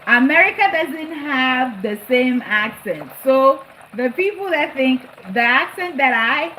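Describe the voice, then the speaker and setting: A woman's voice: high-pitched, animated, takes it slowly, bright, forceful tone, rough recording. A middle-aged woman with a slight New Jersey accent chats casually to live-stream viewers as she cooks, often repeating phrases for emphasis. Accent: New Jersey-influenced. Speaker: live-stream cooking host. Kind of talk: casual live chat with viewers while cooking. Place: home kitchen. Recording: food frying and boiling noise behind. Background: sizzling, bubbling cooking sounds.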